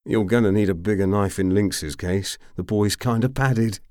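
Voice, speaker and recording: A man's voice: on the low side, moderate pace, darkish tone, no room sound, studio quality, voice pushed up, close to an everyday voice. A man voicing a tipsy, roguish mercenary commander in an audiobook, drawling and rambling with boastful charm. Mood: roguish, amused. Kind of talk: audiobook character acting.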